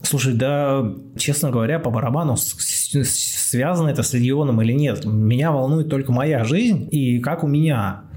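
A man's voice: medium pitch, moderate pace, few pauses, mellow. A man hosting a casual, improvised podcast in a rambling, blunt way, repeating words for emphasis. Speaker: podcast host, doctor.

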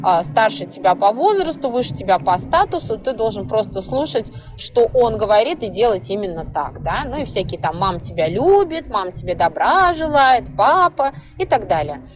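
Conversational woman with a higher-pitched voice. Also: normal speed, few pauses, soft background music. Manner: expressive, often acts out imagined inner voices. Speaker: podcast host. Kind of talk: conversational psychology monologue.

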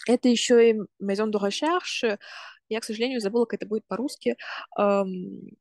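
A woman in her twenties who grew up in Russia talks through her studies as a podcast guest. She is thoughtful and explains step by step, often stopping to rephrase. Her voice sits at a middle pitch and is recorded dry and close, with no room sound. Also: fairly even intonation, a little fast, darkish tone, no echo. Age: 20s. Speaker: podcast guest, university student. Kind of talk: casual explanatory talk.

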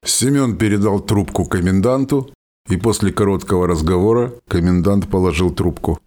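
A man's voice: sits low, moderate pace, dark tone. A man reading scripted dramatic narration as the narrator of a radio play. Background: music.

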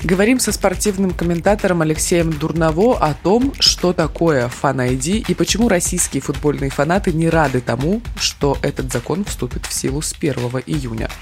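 A woman's voice: low-pitched, normal speed, hardly any pauses, over music. A woman from Siberia co-hosting a morning news podcast over a video call, delivering the news with dry, wry humor. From Siberia, Russia. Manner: wry, dry humor, matter-of-fact reading. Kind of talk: news podcast presenting and banter.

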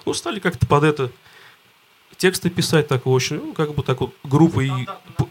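A man's voice: on the high side, animated, brisk, husky. A male band member telling a rambling story with many fillers and restarts. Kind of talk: casual storytelling.

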